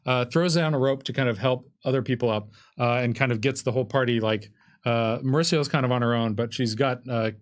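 A man's voice: mid-range voice, brisk. A man acting as game master, casually narrating a tabletop game in a conversational, descriptive way. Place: indoors at a gaming table.

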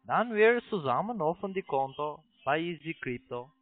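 A man with a foreign accent, not a native speaker, making a halting sales pitch over the phone in broken sentences with repeated restarts. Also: big pitch swings, soft background music. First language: not German. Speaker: phone sales agent, investment scam caller. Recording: tinny narrow phone line.

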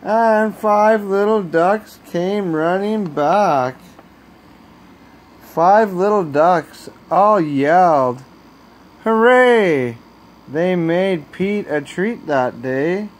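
Slow, high-voiced man reading a picture book. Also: lively intonation. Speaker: storyteller reading aloud to children. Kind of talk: bedtime picture-book read-aloud. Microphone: handheld phone camera.

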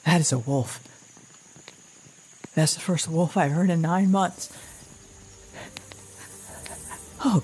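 An actress playing an anxious, guilt-ridden mother surviving alone after an apocalypse, in a halting, stammering audio drama monologue with a husky, gravelly voice. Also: on the low side, a little hiss or hum. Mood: frightened. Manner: halting, stammering, repeating words.

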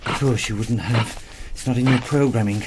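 Male actor as hard-bitten fugitive, medium pitch, sardonic, brusque, audio drama. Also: moderate pace, noisy background, natural voice. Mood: irritable, menacing.

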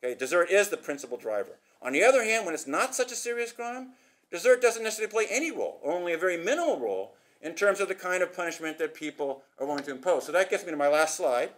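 A middle-aged man giving an academic conference talk at a podium, brisk and gesturing, posing rhetorical questions. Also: a little high. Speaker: conference speaker. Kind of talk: academic presentation. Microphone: podium microphone.

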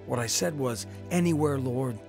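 A man's voice: mid-range voice, moderate pace, vocal fry, music in the background. A man narrating his own story of faith in a dramatized radio testimony, earnest and preacherly.

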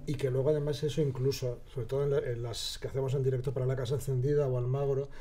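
A man's voice: mid-range voice, level tone, quick pace, mellow. A man with an accent from Spain, a scriptwriter explaining casually in an interview and rephrasing as he goes.

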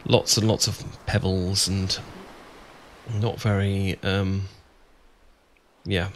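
A man acts out an adorkable young British friend with a crush in an ASMR roleplay. His voice sits a little low, and he rambles bashfully, stammering and hesitating as he goes. Ambient noise is audible behind him. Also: level tone, takes it slowly. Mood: relaxed, chatty. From Britain. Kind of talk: ASMR boyfriend roleplay, improvised-sounding casual chat. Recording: clear background noise with ambience.

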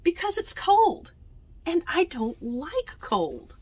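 A storybook little boy, played by a woman reader, whiny and unwilling. His pitch lifts and swings as he grumbles. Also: huge pitch swings, slow, darkish tone, full character voice. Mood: reluctant, complaining. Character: reluctant, grumbling young boy visiting snow.

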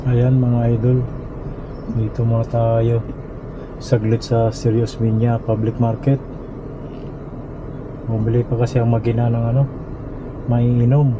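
A middle-aged Filipino man narrating a casual road trip vlog from inside a car, chatting to his viewers in a relaxed way. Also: medium pitch, a little slow, pauses often. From Philippines. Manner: relaxed, addresses viewers as idols, code-switches into English. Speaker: vlogger. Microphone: action camera mounted in the car. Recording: muffled camera audio with loud road noise. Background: car and road noise.

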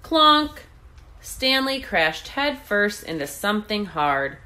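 Lady doing a kids read aloud, slow and expressive, bright voice. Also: mid-range voice. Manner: expressive, deliberate. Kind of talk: children's storybook narration.

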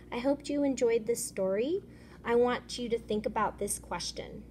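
A woman teacher reading a children's picture book aloud in an expressive, instructive way. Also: mid-range voice, a little hiss or hum.

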